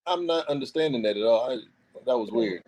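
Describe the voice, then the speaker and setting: A man's voice: medium pitch, expressive, fairly quick, a little mellow. A man in his forties, a guest on a live-stream chat, telling easygoing stories and laughing. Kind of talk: casual storytelling chat.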